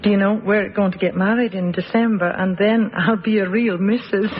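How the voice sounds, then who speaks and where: An actress playing a kind, cheerful young schoolteacher in a radio drama, with expressive delivery. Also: female voice, mid-range voice, normal speed, muffled recording, faint music behind, natural voice.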